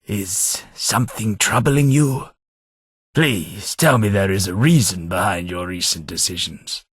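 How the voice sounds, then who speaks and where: British ghoul, old old servant type, low husky voice, wry and polite. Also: male voice, a little slow, a bit bright, no room sound, studio quality. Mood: disappointed. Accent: British English. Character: genteel British ghoul butler, centuries old. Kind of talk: video game voice lines.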